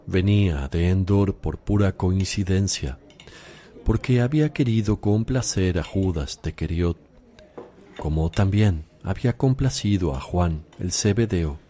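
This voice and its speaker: Calm male religious teacher for radio drama: low, unhurried, measured, solemn, firm.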